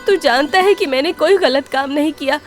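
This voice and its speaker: A woman's voice: high-pitched, normal speed, faint music behind, pitched above the performer's own voice, lightly acted. A woman voicing an honest, anxious young woman food seller in a cartoon story, with an earnest, pleading manner.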